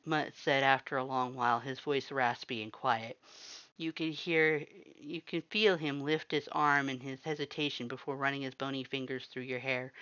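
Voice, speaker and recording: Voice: medium pitch, fairly bright tone, clean tone, highs cut off. A narrator reading scripted fiction in a dramatic storytelling style.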